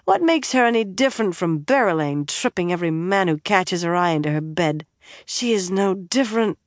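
A woman voicing a tipsy, proud young noblewoman who turns tearful, in a high, pleading voice. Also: expressive, slow, dry recording, studio quality. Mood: accusing.

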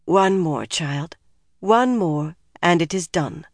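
A woman acting a hushed, guarded senior sorceress. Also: on the low side, animated, dry recording, clean studio sound, exaggerated voice. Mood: gentle, guarded. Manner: hushed, measured, firm. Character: solemn senior sorceress, motherly but guarded and secretive.

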